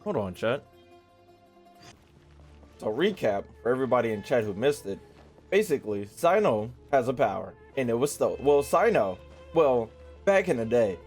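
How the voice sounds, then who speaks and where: A man's voice: mid-range voice, big pitch swings, moderate pace, pauses often, faint music behind. A man giving casual, slangy livestream commentary as he reacts to a game. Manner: slangy, conversational.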